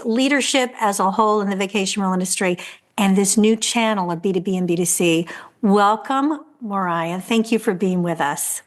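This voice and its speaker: A woman hosting a podcast, introducing and interviewing her guest in an upbeat, emphatic, effusive way. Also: mid-range voice. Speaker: podcast host.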